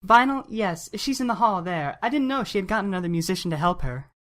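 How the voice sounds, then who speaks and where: A woman's voice: medium pitch, lively intonation, fast talker, lightly acted. A woman playing a kindly pony receptionist in an audio drama, polite, helpful and apologetic.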